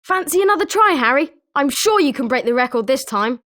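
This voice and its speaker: A woman's voice: high-pitched, sing-song, brisk, fairly bright tone. A woman with a British accent voicing an eager, sporting schoolboy wizard with chirpy, emphatic delivery in a video game. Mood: encouraging. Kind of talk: scripted video game character lines.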